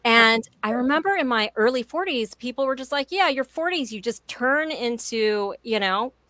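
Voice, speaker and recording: A woman in her forties with a bright, forceful voice. Her pitch swings widely as she talks with emphatic, motivational enthusiasm. The sound is close and dry. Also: animated, moderate pace, no echo. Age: 40s. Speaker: guest. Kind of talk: conversational interview.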